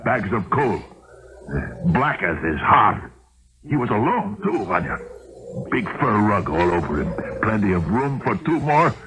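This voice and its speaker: An actor playing a scheming, talkative young vagrant in old Russia, with a hesitant, ingratiating manner, in a 1970s American radio drama. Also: male voice, lowish voice, big pitch swings, measured pace, fairly bright tone, a little hiss or hum, poor audio. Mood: scornful, envious.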